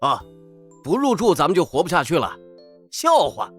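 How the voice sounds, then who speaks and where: A man's voice: fairly high pitch, huge pitch swings, normal speed, a bit bright, slightly rough, soft background music, full character voice. A man playing a grumbling, suspicious shop owner who argues scornfully in an audio drama. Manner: scornful, argumentative.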